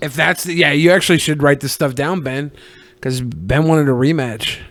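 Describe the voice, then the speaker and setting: A man's voice: fairly high pitch, normal speed, fairly bright tone, dry recording. A man co-hosting a podcast with brash, profane, sarcastic banter. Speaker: podcast co-host. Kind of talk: casual mocking banter.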